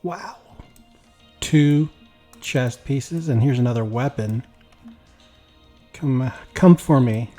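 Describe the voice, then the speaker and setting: A man with a slightly raspy, weak voice, tired after work, chatting casually while playing a game. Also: medium pitch, takes it slowly, pauses often, faint music behind. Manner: rambling, relaxed, talks while playing. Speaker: gaming YouTuber. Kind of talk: casual gameplay commentary.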